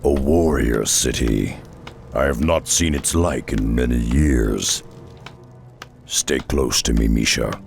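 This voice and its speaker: An actor playing a world-weary, brooding half-orc, half-ogre warrior in a video game, speaking slowly and solemnly in a gravelly voice. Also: male voice, a bass voice, darkish tone, heavily acted. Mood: calm, resolute. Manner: brooding, solemn, slow-spoken. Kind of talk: video game cutscene acting. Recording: music behind the voice.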